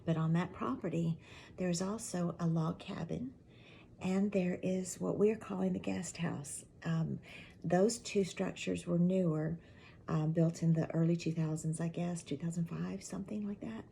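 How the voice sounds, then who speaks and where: A middle-aged woman talks casually to her channel viewers in a low, mellow, gravelly voice that is raspy from allergies. She rambles in a friendly way, and many of her words trail off into a soft half-whisper. A faint hum of noise sits behind her. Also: fairly even intonation, measured pace. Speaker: channel host. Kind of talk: casual chatty update to viewers. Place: at home with a mug of tea.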